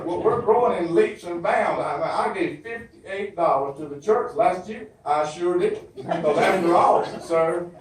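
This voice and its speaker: A man with a Southern US accent performing a folksy comedy monologue as a flustered churchgoer on the phone, stammering and repeating himself. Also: a little high. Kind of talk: comedy monologue, one side of a phone call. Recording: very echoey hall, distant microphone.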